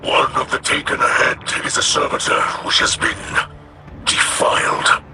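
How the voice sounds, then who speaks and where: Man voicing a rasping, solemn alien warrior leader, loud music. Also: natural voice. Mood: grave, indignant. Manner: formal, weighty, measured declarations. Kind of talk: video game mission dialogue.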